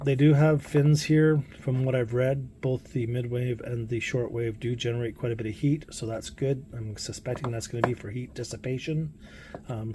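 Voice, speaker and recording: A man with a dark, relaxed voice at a medium pitch talks off the cuff as he reviews a product. He is plainspoken and a little halting, working out his thoughts aloud. Faint room noise sits behind him. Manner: hesitant, plainspoken, thinking aloud. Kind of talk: casual unscripted product review talk.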